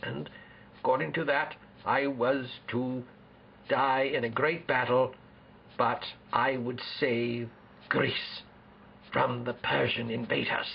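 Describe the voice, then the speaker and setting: A man playing a proud, solemn ancient Spartan king who speaks formally and deliberately in an amateur role-play interview. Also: medium pitch, flat delivery, creaky, lo-fi sound, slight background noise.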